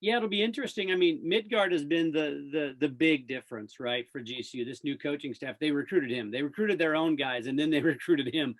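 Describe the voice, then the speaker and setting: Sports broadcaster guest: male, lightly pitched voice, enthusiastic and informal, animated delivery. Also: a little fast, few pauses, poor audio. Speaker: radio play-by-play sports announcer, interview guest. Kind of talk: casual enthusiastic sports chat.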